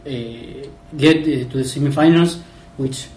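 Halting man, far from the mic. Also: medium pitch, darkish tone, echoey room. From Argentina. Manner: halting, many fillers and restarts. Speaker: podcast guest. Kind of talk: casual football podcast chat.

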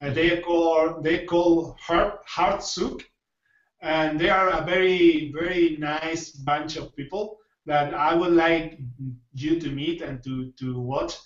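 High-voiced man hosting, muffled and distant. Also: fairly even intonation, takes it slowly, reverberant. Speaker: podcast host. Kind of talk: casual video podcast interview in non-native English.

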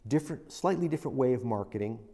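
A man's voice: mid-range voice, animated, fast talker, dark tone, long reverb tail. A middle-aged man telling stories as a guest in an interview, reflective and rambling. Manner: reflective, rambling, with many restarts. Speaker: interview guest, business veteran. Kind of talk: storytelling in a casual interview.

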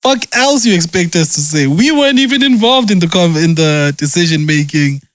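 A man with a slightly high voice speaks bluntly and swears freely. He pushes with pointed, direct questions. The recording is dry and close. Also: animated, a little fast, no echo. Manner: blunt, profane, pressing questions. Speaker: conversation partner. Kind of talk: casual chat.

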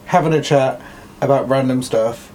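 A man's voice: medium pitch, moderate pace, a little mellow, low-quality recording. Posh english guy in his 20s, laid-back podcast co-host, chatting loosely with ums, faint hiss behind. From England. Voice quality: posh, plummy voice. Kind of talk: casual chat.

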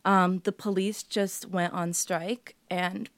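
A woman's voice: on the low side, slow pace. A young woman whose first language is Portuguese, chatting casually as an interview guest and using plenty of filler words. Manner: relaxed, many "like" fillers. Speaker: guest artist.

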